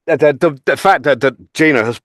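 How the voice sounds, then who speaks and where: A British man chatting casually as an actor guest on a panel, with theatrical, halting phrasing. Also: fairly high pitch, a bit bright, no room sound. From United Kingdom.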